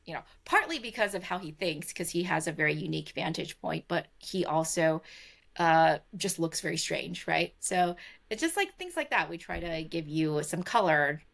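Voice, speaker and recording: Low gravelly woman, chatty and loose. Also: expressive, normal speed, fairly bright tone. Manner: chatty, heavy on fillers like "like" and "right?"; laughs easily while talking. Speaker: guest, tech investor and former podcaster. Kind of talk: casual conversational interview. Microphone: remote call recording.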